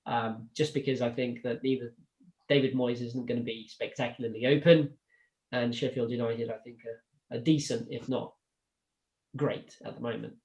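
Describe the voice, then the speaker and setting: A man hosting a casual football chat, relaxed and chatty. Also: mid-range voice, normal speed, poor audio. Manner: relaxed, chatty, sighs and laughs. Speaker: podcast host.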